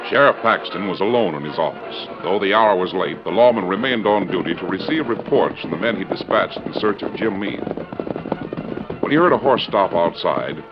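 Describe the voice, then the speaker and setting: Radio western narrator: very low, solemn, dramatic, music underneath, muffled vintage sound. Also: male voice, expressive, normal speed. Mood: dramatic, measured. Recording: dull vintage radio recording.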